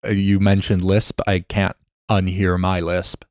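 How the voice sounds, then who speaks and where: A man's voice: low voice, level tone, a little slow, a little mellow, no echo. A man co-hosting a podcast in casual chat, joking and offhand, swearing casually. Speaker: podcast co-host.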